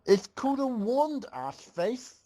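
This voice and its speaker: Man acting out a snappy pitched-up pony mare. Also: sing-song, slow pace, exaggerated voice. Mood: irritated. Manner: yelling, snappy. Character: rude, short-tempered pony mare, loud and sarcastic. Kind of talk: amateur comic fan fiction read-aloud.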